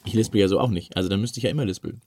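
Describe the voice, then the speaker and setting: A man's voice: lowish voice, racing, mellow, dry recording. A man from Dortmund co-hosting a casual talk show, joking and playful. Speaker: podcast co-host. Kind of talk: casual chat.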